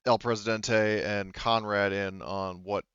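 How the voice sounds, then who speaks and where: A man's voice: fairly low pitch, steady tone, unhurried, a bit bright, clear, resonant tone, no echo, lo-fi sound. A man acting as game master in a casual online tabletop roleplaying discussion, explaining things with frequent asides.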